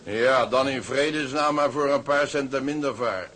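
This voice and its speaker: An actor playing an older tugboat skipper in a radio drama, settled and resigned, speaking in a measured, knowing way. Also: male voice, medium pitch, fairly bright tone, lightly acted.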